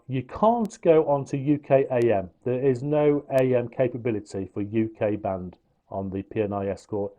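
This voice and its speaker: A man with a soft-edged, mellow voice talks through a piece of gear in an informal review. He explains each feature plainly and matter-of-factly, in a relaxed tone at a middling pitch. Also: highs cut off. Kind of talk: informal product review and demonstration.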